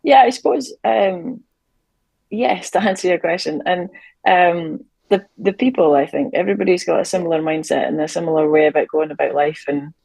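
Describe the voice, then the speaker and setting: A young Shetland woman sailor chatting about music in a relaxed interview on a video call from a hotel room, with plenty of ums and easy laughs. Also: fairly low pitch, normal speed, creaky, no room sound. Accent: Shetland Scottish. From Shetland, Scotland. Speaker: sailor and fiddler, podcast guest. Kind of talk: casual interview chat.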